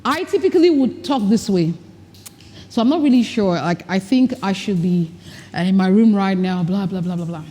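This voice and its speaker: A woman's voice: mid-range voice, animated, moderate pace, dark tone, in a large hall. A woman leading a live workshop, speaking directly to her audience and coaching them through exercises. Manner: direct, coaching, acts out mock dialogue. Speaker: speaker at a women's leadership retreat. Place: large event hall with an audience. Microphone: recording mic, not amplified.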